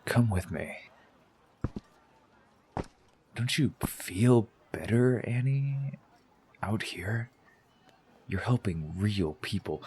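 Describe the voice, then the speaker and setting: An actor playing a brooding, wounded rebel killer in an audio drama, persuasive and menacing, intense and often dropping toward a whisper. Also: male voice, low voice, darkish tone, a little hiss or hum. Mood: pleading.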